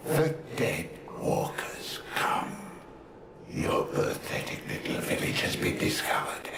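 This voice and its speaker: A man voicing a gloating, fanatical undead minion in a video game, in a breathy, hissing whisper with grandiose menace. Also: lowish voice, exaggerated voice. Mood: sinister gloating. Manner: slow, grandiose threats. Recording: echoing, with noisy ambience behind.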